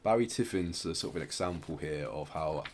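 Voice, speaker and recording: A man with a very deep voice hosts a casual music podcast. He explains things in a hesitant, roundabout way, over noticeable noise. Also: fairly quick, darkish tone. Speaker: podcast host.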